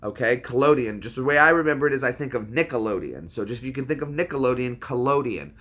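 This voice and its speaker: A man in his thirties hosts a casual livestream and talks to his viewers in a rambling, easygoing way, dropping "dude" and "man" often. His voice sits in an ordinary middle pitch. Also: expressive, fast talker, a bit bright. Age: 30s. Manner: laid-back, rambling, says dude and man a lot. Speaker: livestream host.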